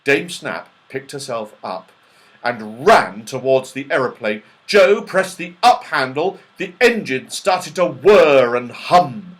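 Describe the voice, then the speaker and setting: A man reading a children's story aloud in an animated, carefully enunciated way, as an audiobook narrator. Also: mid-range voice, takes it slowly. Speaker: audiobook reader.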